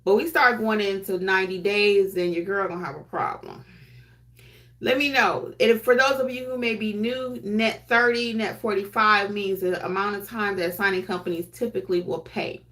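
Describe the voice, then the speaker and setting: A woman hosting a notary training livestream, chatting candidly in casual slang, reading and answering viewer comments in a quiet voice. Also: medium pitch, fairly even intonation, moderate pace. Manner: says she has her quiet voice on.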